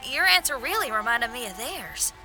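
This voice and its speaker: A woman's voice: high voice, expressive, normal speed, sharp tone, soft background music, full character voice. A woman voicing a confident, free-spirited young musician in a video game, with a breezy, assured way of talking. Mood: thoughtful.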